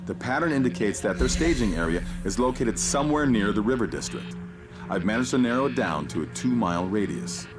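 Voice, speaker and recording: Man acting as sci-fi game mission guide, male, composed, commanding, clipped instructions, animated intonation, clear music behind. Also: lowish voice, brisk, lightly acted. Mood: measured, explaining. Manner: clipped, instructive, technical, businesslike. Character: composed, commanding man guiding a soldier through alien invasion. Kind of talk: scripted video game voice acting.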